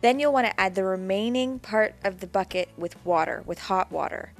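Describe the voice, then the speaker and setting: A woman's voice: mid-range voice, normal speed, soft background music. A woman in her twenties giving a plain, practical step-by-step voice-over for a homemade cleaning recipe. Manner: plain, practical, walks through each step. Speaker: DIY homesteading vlogger.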